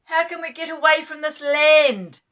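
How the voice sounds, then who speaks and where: A woman's voice: sits high, fairly even intonation, normal speed, fairly bright tone, dull audio, pitched above the performer's own voice. An insistent, practical young boy from a children's storybook, played by a woman, his voice lifted and urgent. He calls out with some exasperation. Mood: shouting, insistent. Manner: urgent, calling out.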